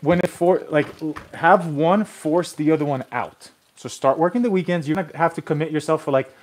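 A man's voice: on the high side, a little fast. A man in his thirties chatting loosely as he works, full of fillers and restarts. He sounds like he's explaining on the fly, talking to viewers from a renovation site through a phone camera. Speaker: tile installer streaming his work. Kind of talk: casual live-stream how-to chat. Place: bathroom renovation site and snowy driveway.

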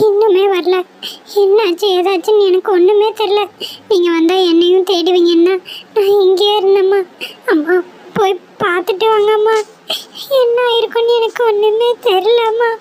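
A woman doing a loud fretful sparrow chick. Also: extremely high pitch, steady tone, normal speed. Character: anxious obedient girl sparrow chick. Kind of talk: cartoon voice acting.

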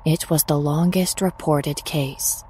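Low-voiced woman narrator, slow and measured. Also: steady tone, mellow, slight background noise. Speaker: story narrator. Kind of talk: scripted literary horror narration.

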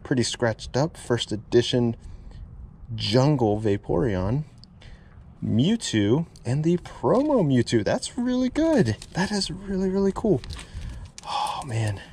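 A man's voice: medium pitch, big pitch swings, takes it slowly, a little mellow, breathy edge. A man vlogging as he digs through a storage unit, amazed and repeating exclamations, filmed on a head-mounted camera. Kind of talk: excited unboxing vlog. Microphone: head-mounted action camera.

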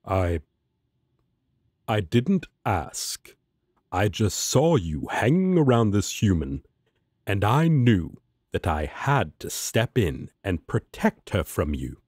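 A righteous angel warrior of fantasy audio drama, acted out by a man, commanding and self-important. His low voice swings widely in pitch and speaks very slowly, preaching in archaic phrases. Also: big pitch swings, a little mellow, breathy edge, no echo, clean studio sound, heavily acted. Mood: flustered. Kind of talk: fantasy audio roleplay acting.

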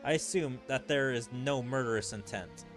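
A man voicing an analytical, emotionless sage skill that gives formal, measured notices in a game. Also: mid-range voice, over music, close to an everyday voice. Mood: detached.

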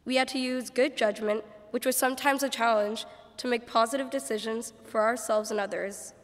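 A teen girl reads from a script at a church podium. Her voice is on the high side and washed in long hall reverb. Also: fairly even intonation, moderate pace. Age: teenager, about 14. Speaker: graduating student speaker. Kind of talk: reading a prepared ceremony speech. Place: large church, indoors. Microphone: podium microphone.

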